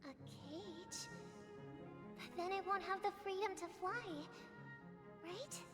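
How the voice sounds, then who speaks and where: An actress playing a gentle, idealistic young girl, a kind little sister, in a video game. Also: extremely high pitch, slow pace. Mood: innocent, hesitant. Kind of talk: video game cutscene acting. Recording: long reverb, music behind.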